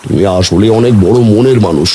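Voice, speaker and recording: A kindly, humble villager from an animated story , acted by a man. The voice sits low and is soft-edged and dark, with some noise in the background. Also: a little fast. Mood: earnest, sympathetic. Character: kindly humble villagers: poor boatman and lonely widower. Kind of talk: animated story character dialogue.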